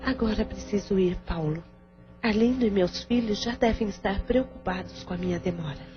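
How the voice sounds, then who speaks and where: Woman acting a caring Brazilian aunt, mid-pitched. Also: normal speed, natural voice. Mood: earnest, concerned. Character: caring, devout adult sister and comforting aunt. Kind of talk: Brazilian audio drama acting. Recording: dull lo-fi audio under music.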